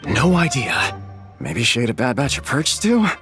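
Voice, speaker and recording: A man's voice: medium pitch, wildly swooping pitch, moderate pace, over music. A man voicing a mocking, gossipy restaurant customer in a video game.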